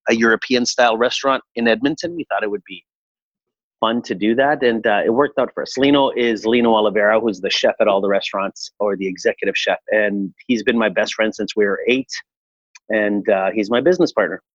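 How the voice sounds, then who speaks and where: Low-voiced man, a restaurateur interviewed. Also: fairly quick, dry recording, dull audio. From Chile. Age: 40s. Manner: relaxed and reflective, frequent "uh" fillers. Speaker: restaurateur guest. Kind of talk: conversational interview answers.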